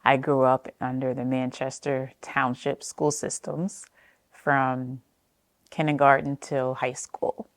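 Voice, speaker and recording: An American woman from New Jersey telling personal family history for a documentary, speaking in a reflective, halting way with frequent pauses. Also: extremely low pitch, little pitch movement, no room sound. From New Jersey, United States. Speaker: interviewee sharing family history.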